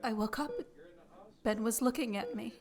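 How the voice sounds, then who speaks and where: An actress voicing an elderly aunt, halting and stunned after a shooting. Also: female voice, a little high, a little mellow, lightly acted. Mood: dazed, shaken. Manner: halting, repeating words.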